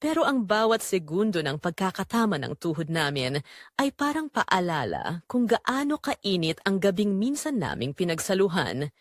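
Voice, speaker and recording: A woman's voice: lowish voice, sing-song, normal speed, dry recording, studio quality. A woman playing a guilty middle-aged mother confessing a forbidden affair in an emotional, scripted romantic audio drama. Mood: tense, yearning.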